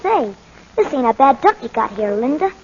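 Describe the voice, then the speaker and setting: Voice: sits high, big pitch swings, normal speed, a little mellow. A performer playing a streetwise, plain-spoken young boy with slangy speech in a 1940s radio drama. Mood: casual. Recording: muffled, noisy old 1940s radio recording.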